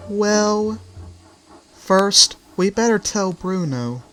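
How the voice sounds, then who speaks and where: A man voicing a kindly, protective female tank engine in a children's railway story, with a gentle, teasing manner. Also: high-pitched, expressive, drawn-out words, soft background music, pitched above the performer's own voice, exaggerated voice. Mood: gentle, reassuring.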